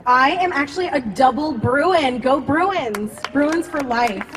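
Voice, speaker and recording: A woman's voice: sits high, expressive, slow pace, fairly bright tone. A woman who is a lecturer and performer introducing herself on a panel in a bubbly, enthusiastic way, with the odd laugh. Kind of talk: upbeat panel self-introduction. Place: outdoor campus courtyard.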